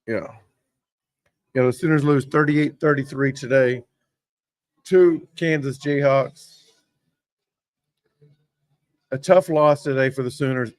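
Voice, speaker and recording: A hoarse man hosting a casual sports talk show from a sports bar, rambling and getting worked up over play calls. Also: mid-range voice, steady tone, slow, pauses often, dry recording. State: hoarse from yelling. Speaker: host.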